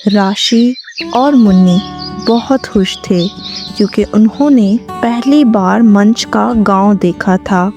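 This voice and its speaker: Slow-paced woman storyteller over music. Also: medium pitch, a little mellow. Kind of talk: scripted story narration.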